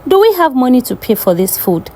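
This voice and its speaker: A scheming old sorcerer from a folktale, played by a woman, quarrelsome and exclamatory. The pitch is high and swoops widely. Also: sing-song, brisk, warm tone, a little hiss or hum. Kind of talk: folktale storytelling.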